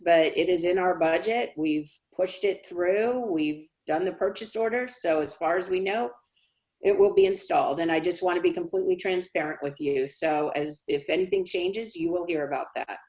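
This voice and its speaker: A woman speaking as a college dean, answering questions in a measured, reassuring, explanatory way on a video call. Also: fairly low pitch, a little mellow. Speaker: college dean hosting a town hall. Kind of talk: informal question-and-answer briefing. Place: remote online meeting.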